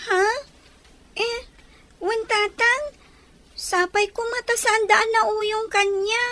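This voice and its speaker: A sad little Ilocano girl in a radio drama, acted by a woman. Her voice is extremely high and bright, and she speaks slowly and obediently. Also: level tone, heavily acted. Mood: anxious, questioning.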